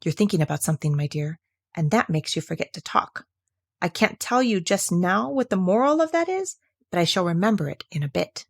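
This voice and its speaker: A woman's voice: lowish voice, sing-song, a little fast, gravelly, full character voice. A woman voicing an ugly, pushy, overly agreeable older Duchess in a storybook, with a pompous, emphatic, singsong way of moralizing. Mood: chiding, familiar.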